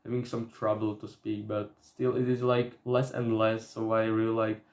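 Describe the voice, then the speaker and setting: A young Slovak man vlogs in accented English, hesitant and searching for words. His delivery stays flat and level. The sound is roomy and echoing. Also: lowish voice. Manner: halting, many fillers and restarts. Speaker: vlogger. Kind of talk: casual talk to camera. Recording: echoey room.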